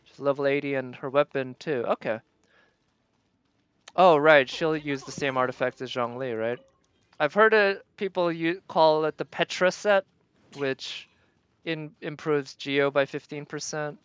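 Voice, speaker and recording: Clear-voiced man casually streaming a game. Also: fairly high pitch, a little slow, a bit bright, no echo, highs cut off. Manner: thinks aloud, tentative and musing. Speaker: live streamer playing a video game. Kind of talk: casual gameplay commentary, chatting with viewers.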